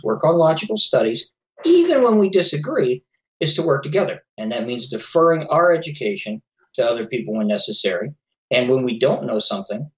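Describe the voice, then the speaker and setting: A man at a middle pitch hosts a talk radio show on his own, speaking straight to his listeners in an earnest, lecturing way. His voice is going a little hoarse. The audio is slightly dull and lo-fi. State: losing his voice. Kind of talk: solo talk radio monologue.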